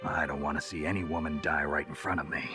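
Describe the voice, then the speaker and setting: A man's voice: very deep voice, fairly even intonation, quick pace, music in the background. A man playing a hardened, stoic soldier hero in a video game, speaking tersely like a weary loner. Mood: guarded, resigned. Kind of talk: dramatic video game cutscene acting.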